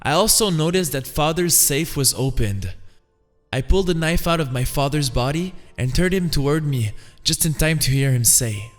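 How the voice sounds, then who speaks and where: A man's voice: fairly high pitch, animated, normal speed, dry recording, faint music behind, lightly acted. A man voicing a shaken young man accused of murder in a comic-book drama, explaining himself earnestly.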